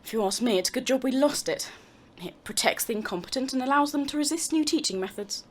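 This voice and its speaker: A brisk university chief in an audio drama. Her voice is rather high and very animated, rising and dropping widely as she speaks. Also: a little hiss or hum. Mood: dry, dismissive. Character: brisk, dismissive woman university vice-chancellor. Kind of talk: scripted audio drama dialogue.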